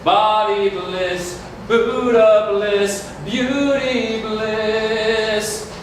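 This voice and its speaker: A man playing a solemn, rapturous officiant at a mystical wedding, intoning a reverent, drawn-out blessing in a stage reading. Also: sits high, steady tone. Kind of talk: ceremonial staged reading with slow sung chanting. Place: community hall stage. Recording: very echoey hall, distant mic, faint noise.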